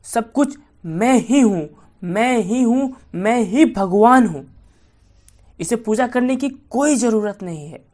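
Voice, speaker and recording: An ancient, menacing male demon from a bedtime folk tale, acted out by a woman in a fairly high voice. He boasts of his power and makes threats. The delivery is slow and declarative. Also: lively intonation, a little mellow. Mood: boastful, defiant.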